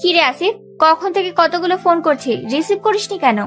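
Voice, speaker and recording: A woman's voice: very high-pitched, expressive, normal speed, a bit bright. A woman playing a caring, bossy young elder sister in an audio love drama, concerned and scolding. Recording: background music under the voice. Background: music.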